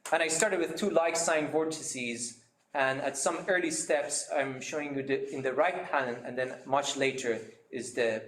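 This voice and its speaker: A man giving a seminar talk, explaining his method step by step with many pauses and fillers. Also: medium pitch, fairly bright tone, echoey room, a little hiss or hum. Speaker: seminar speaker.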